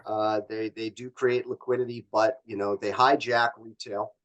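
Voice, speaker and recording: A middle-aged North American man with a fairly low voice. He talks as an executive guest in a casual interview, explaining things in a rambling, colloquial way. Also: flat delivery, moderate pace, fairly bright tone, highs cut off. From born in Canada, grew up in Los Angeles.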